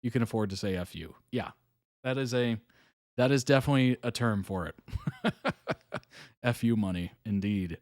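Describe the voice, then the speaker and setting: A man's voice: medium pitch, moderate pace, pauses often, a little mellow, no room sound, studio quality. A young adult man hosting a live reaction stream, chatting casually and musing aloud with fillers and small chuckles. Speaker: reaction video host.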